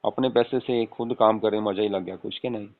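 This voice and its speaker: A man's voice: fairly low pitch, steady tone, a little fast, natural voice. A man playing an affectionate young husband on a casual late-night call, coaxing and often asking for agreement. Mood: explaining, reassuring. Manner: coaxing, repeatedly seeking agreement with tag questions. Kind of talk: acted casual phone conversation with spouse. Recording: tinny phone-call audio with room echo.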